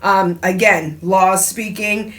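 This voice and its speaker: A middle-aged woman from the United States talks as a radio host. She rambles and jokes with plenty of laughter, and her voice sits at an ordinary pitch for a woman. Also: slow pace. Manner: chatty, laughs often, animated asides. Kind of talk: casual solo talk show.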